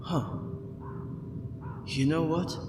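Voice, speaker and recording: A man playing a dorky young dark fantasy prince, by turns pompous and awkwardly kind, in a scripted audio roleplay. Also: a little high, very animated, very slow, full character voice. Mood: gentle, apologetic. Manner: grandiose monologue giving way to awkward, hesitant talk. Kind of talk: scripted ASMR roleplay acting. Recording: echoey hall, distant, noisy ambience.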